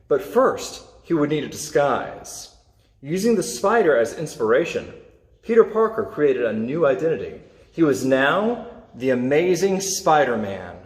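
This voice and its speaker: A man reading a children's superhero story aloud, with expressive, dramatic emphasis. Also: fairly high pitch. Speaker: storybook reader. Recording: echoey, reverberant room.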